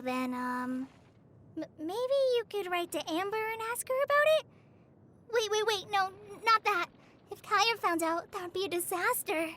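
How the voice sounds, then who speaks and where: A woman's voice: very high voice, pushed and bright, heavily acted. An actress playing a shy, earnest teenage girl forest ranger trainee in a video game, hesitant and easily flustered. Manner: hesitant, stammering, apologetic. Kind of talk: video game character voice lines. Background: background music.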